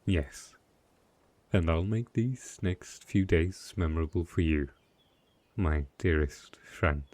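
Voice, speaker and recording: A man playing a lonely, gentle but possessive dark knight in an intimate fantasy audio roleplay, speaking softly and haltingly. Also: low voice, no echo. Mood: tender, wistful.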